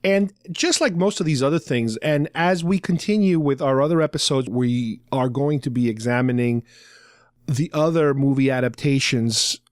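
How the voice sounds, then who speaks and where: A man's voice: mid-range voice, moderate pace, dry recording, clean studio sound. A middle-aged man hosting a podcast, talking casually and explaining things like an enthusiastic fan. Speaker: podcast host.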